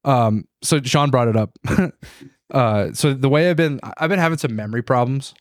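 A man's voice: mid-range voice, normal speed, dry recording, clean studio sound. Joking man who swears freely. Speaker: podcast co-host. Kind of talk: casual chat.

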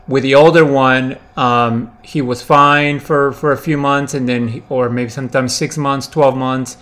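A man in his forties from Peru, hosting a podcast in a reflective, halting way with many fillers and restarts. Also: mid-range voice, steady tone, a bit bright. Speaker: podcast host. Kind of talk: casual solo podcast monologue.

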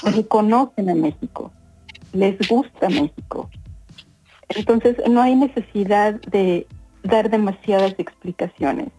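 A woman in her fifties with a Mexican accent, giving conversational interview answers, often pausing and repeating words as she searches for phrasing. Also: medium pitch, mellow, husky, faint music behind, poor audio. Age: 50s. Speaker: interview guest, corporate professional.

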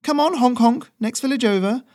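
A man's voice: normal speed, darkish tone. A man voicing a cheeky young male courier who rides a messenger goose, talking in a breezy, teasing way. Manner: breezy, teasing, high-spirited.

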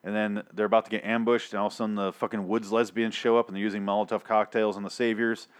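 A man's voice: lowish voice, level tone, fast, smooth. A young man reviewing a TV episode in an exasperated, profane rant, full of restarts and rambling asides, his voice getting worn. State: losing his voice. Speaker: TV show reviewer.

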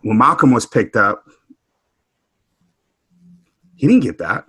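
Bright, dry-sounding man talking thoughtfully. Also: medium pitch, big pitch swings, slightly rough, no room sound. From Houston, Texas. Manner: thoughtful, halting with frequent restarts. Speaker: discussion panelist. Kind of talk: reflective conversational discussion.

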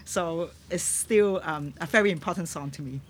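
Low-voiced woman with Hong Kong accent. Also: a little hiss or hum. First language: Cantonese. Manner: halting, searches for words. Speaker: guest musician. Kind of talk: podcast conversation. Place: on a boat in a harbour.

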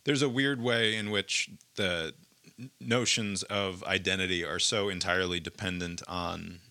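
A man chatting in a reflective, musing manner. His voice sits fairly low but has a bright, forceful edge, and it sounds dry and close, with very little room around it. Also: dry recording. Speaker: participant in a group conversation. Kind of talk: casual chat.

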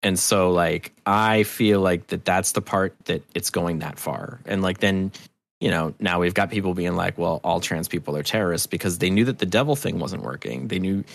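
Man, American, deep voice, dry recording, casual interview talk, opinionated, emphatic, profane. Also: moderate pace, vocal fry, no echo. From New Hampshire, United States. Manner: emphatic, sweary, anecdotal. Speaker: podcast guest.

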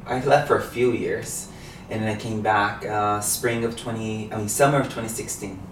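A man's voice: on the low side, moderate pace. Man, echoey and distant. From Brazil. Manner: relaxed storyteller, many hesitations. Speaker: podcast guest, meditation teacher. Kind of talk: casual interview. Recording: echoey, distant mic, faint noise.

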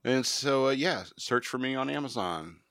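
A man's voice: mid-range voice, lively intonation, normal speed, fairly bright tone, dry recording, studio quality. A man hosting a podcast, rambling and joking in casual banter. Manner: rambling, jokey, self-promoting. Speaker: podcast host.

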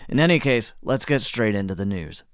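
A man's voice: fairly low pitch, animated, a little fast, over loud music. An American man with a cold and a slightly wheezy edge, hosting a podcast news rundown with wry, casual asides. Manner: wry, tongue-in-cheek. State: fighting a cold. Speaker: podcast host.

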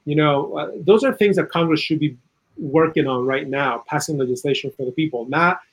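A man from South America giving earnest, opinionated political commentary in a conversational way, with a husky voice and plenty of "you know". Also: on the high side, brisk, poor audio. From South America, Chile. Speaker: interview guest, political and legal commentator.

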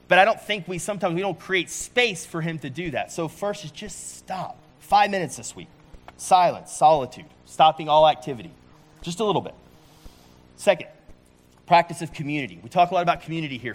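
A man's voice: fairly high pitch, fairly bright tone. A man in his forties preaching a sermon in a casual, conversational way, often restarting his sentences. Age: 40s. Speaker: pastor.

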